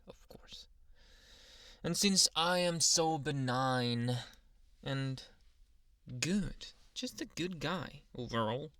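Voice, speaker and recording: A man playing a jealous, dominant anime boyfriend in an ASMR roleplay, teasing and menacing, with a deliberate delivery that dips into whispers. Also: mid-range voice, a bit bright, no room sound, clean studio sound. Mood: condescending, coaxing.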